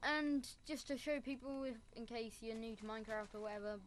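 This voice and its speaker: Rambling woman with a raised pitch. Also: a little fast, slight background noise. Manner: rambling, with self-mocking asides. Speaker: Minecraft tutorial creator. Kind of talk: casual gameplay tutorial commentary.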